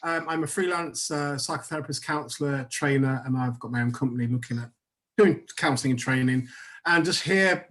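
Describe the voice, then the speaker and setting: A man's voice: mid-range voice, a bit bright. A man in his sixties, a psychotherapist on a panel, speaking over a video call and often repeating words as he starts a thought. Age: 60s.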